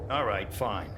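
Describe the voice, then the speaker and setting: An actor playing a guarded, reluctant military officer in a game cutscene, speaking tersely and grudgingly through loud noise. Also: male voice, on the low side. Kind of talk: video game cutscene dialogue.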